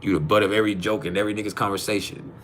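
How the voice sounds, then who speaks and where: A man with a naturally deep voice, chatting casually and bluntly as a livestream host, in slangy, profane language. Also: flat delivery, very fast, slight background noise. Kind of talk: casual live chat with viewers. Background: music.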